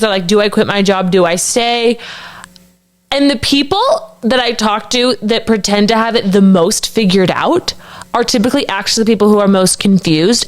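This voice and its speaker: Woman in her thirties, podcast co-host, earnest and reflective, casual filler-laden speech. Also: medium pitch. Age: 30s. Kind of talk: casual chat.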